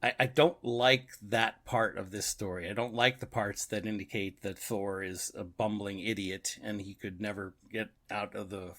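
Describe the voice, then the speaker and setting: A man's voice: lowish voice, steady tone, normal speed, few pauses, a bit bright. A man co-hosting a podcast, casually discussing a story and often repeating words as he thinks aloud. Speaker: podcast co-host. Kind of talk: casual book and radio drama discussion.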